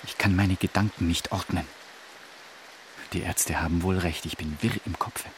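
A man's voice: low-pitched, steady tone, normal speed, slight background noise, close to an everyday voice. An actor playing a despairing, confused king who pleads with God in a theatrical radio play monologue. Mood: brooding, melancholy. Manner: theatrical, swinging between whispers and outcries. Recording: music behind the voice. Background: music.

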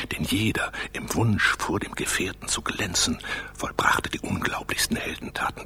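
An actor playing an amorous ancient tree spirit in a radio play, telling tales in a florid, theatrical way with a breathy, hissing whisper. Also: male voice, pushed and bright, heavily acted. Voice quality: breathy, hissing whisper over gravelly low voice. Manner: theatrical, florid, savoring each phrase. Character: amorous talking tree spirit, male, worldly ancient storyteller. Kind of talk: dramatic radio play storytelling.

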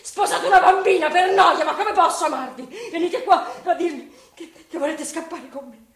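Wronged young woman, high voice, torn, accusing, radio drama, roomy sound. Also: moderate pace. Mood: outraged. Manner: emotional, swinging between hushed and outraged. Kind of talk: theatrical radio drama acting. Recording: distant, roomy radio play with faint noise.

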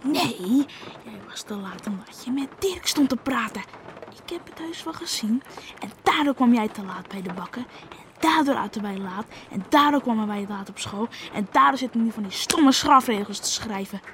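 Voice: medium pitch, lively intonation, normal speed, a bit bright. A performer playing a stubborn, accusing young twin boy who longs for the sea, in a radio drama with noise behind.

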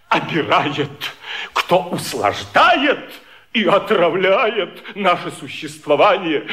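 An actor playing a gallant, ironic toastmaster in a theatrical radio vaudeville, declaiming with playful pomposity. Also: male voice, very high voice, bright, forceful tone, exaggerated voice. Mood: rousing, playful. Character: flamboyant male toastmaster, gallant and ironic flatterer of women.

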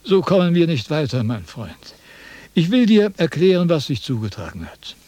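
Pompous high-voiced philosopher, sharing his wisdom. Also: very animated, moderate pace, dark tone, no echo. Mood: condescending, self-important. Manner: pompous, lecturing. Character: pompous, self-important male Chinese sage, condescending and impatient. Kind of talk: theatrical radio drama dialogue.

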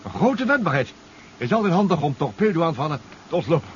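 A proud, spirited inventor-professor in a seventies sci-fi radio play. He is emphatic and swings widely in pitch. The sound is slightly muffled with faint noise. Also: very animated, fairly quick. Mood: proud, brisk. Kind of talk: 1970s Dutch radio drama acting. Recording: dull, faintly noisy radio play recording.

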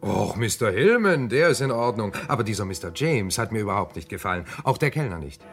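An actor playing a keen, suspicious police assistant in a German radio crime play, eager and deductive. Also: male voice, on the low side, very animated, a little fast, soft background music.

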